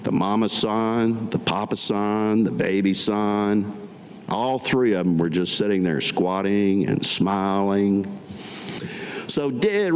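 An older man giving a staged poetry reading at a podium, deliberate and pausing between short phrases. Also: lowish voice, a little mellow. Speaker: veteran reading his own writing. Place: indoor meeting room at a podium. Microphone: podium gooseneck microphone. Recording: echoey hall with audible room noise.

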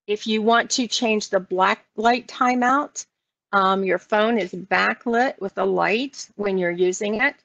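A woman's voice: mid-range voice, takes it slowly, vocal fry, fairly bright tone, no echo. An American woman working as a trainer, explaining things step by step in an instructional walkthrough. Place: online meeting screen-share, voice only. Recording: dull lo-fi online meeting audio.

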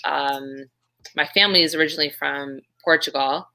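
A young woman from Canada answers interview questions over a video call. Her voice sits low yet rings bright and forward, and she talks in a chatty, easy way, laughing now and then. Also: fairly even intonation, normal speed. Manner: chatty, laughs between phrases. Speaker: guest, pro boxer. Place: small room indoors. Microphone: video call, laptop mic.

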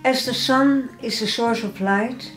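An older woman from the Netherlands with a Dutch accent, calmly explaining her own paintings in her studio. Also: on the high side, faint music behind, low-quality recording. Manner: calm, measured, slightly non-native phrasing. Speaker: artist. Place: home art studio, indoors.

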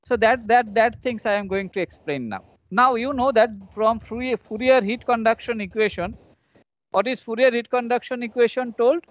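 A man with an Indian accent teaching engineering in a live online lecture, explaining step by step in a halting, repetitive way. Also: very high voice, dry recording. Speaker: engineering professor. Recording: dull lo-fi online call audio.

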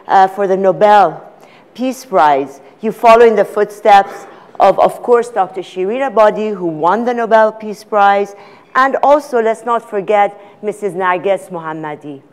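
Panel moderator, middle-aged woman; even mid voice, careful, pausing delivery on a conference stage. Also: mid-range voice. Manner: measured, hesitant with many fillers. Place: conference stage before an audience.

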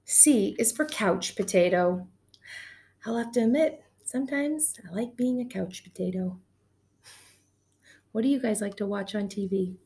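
Slow, upbeat teacher woman on webcam. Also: mid-range voice, warm tone. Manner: upbeat, counting beats aloud. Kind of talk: online class read-aloud. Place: small room indoors. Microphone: webcam on video call.

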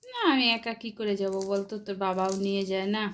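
A middle-aged woman, chatting and gently chiding like a mother on a family phone call. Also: medium pitch, fairly even intonation, fairly quick. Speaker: mother on a phone call with her grown son. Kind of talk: casual family phone chat.

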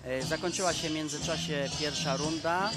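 Guy commentating wrestling live, kinda high voice, pauses and repeats stuff, really loud music behind.

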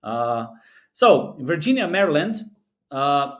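Man, 30s, English, slightly high voice, earnest, repetitive, hesitant fillers, webcam close-up. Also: sing-song, slow. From Europe. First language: a South Slavic language. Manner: earnest, repetitive, talks through numbers with many fillers. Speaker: sports betting analyst. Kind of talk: screen-recorded commentary. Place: small room indoors.

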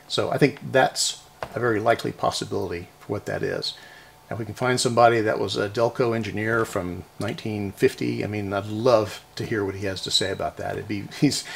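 A man's voice: on the low side, gravelly, a little hiss or hum. A middle-aged American man from the Houston area, chatting casually and explaining things in a folksy, musing way. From Houston area, Texas, US. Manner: folksy, musing, thinking aloud. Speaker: hobbyist repair video host.

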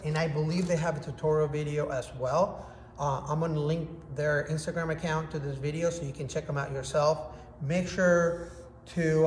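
A man's voice: a little high, darkish tone. A man in his thirties giving an upbeat, casual product review to a phone camera in a workshop. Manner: upbeat, enthusiastic, demonstrating with hands. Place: indoor workshop with hard walls. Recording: echoey room, faint background noise.

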